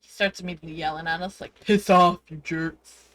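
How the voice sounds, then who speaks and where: A woman's voice: fairly low pitch, steady tone, fairly quick, a bit bright. A woman chatting casually as she comments on her gameplay stream, blunt and given to swearing.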